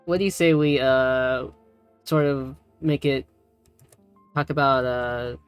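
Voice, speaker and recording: Woman chatting hesitantly in a mid-low register. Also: steady tone, slow pace, clear, resonant tone, dry recording, faint music behind. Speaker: tutorial co-host, learner. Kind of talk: casual chat, tutorial recap.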